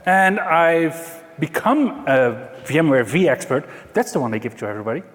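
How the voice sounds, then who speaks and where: A man's voice: a little high, big pitch swings, normal speed. A man from the Netherlands with a Dutch accent, giving a conference talk with dry, self-mocking humor. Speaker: conference presenter. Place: large conference hall. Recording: reverberant hall.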